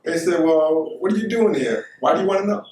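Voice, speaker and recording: A man's voice: on the high side, animated, brisk, noisy background. Casual American male storyteller, middle-aged, recorded in an echoey room at some distance from the mic. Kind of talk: casual first-person anecdote telling.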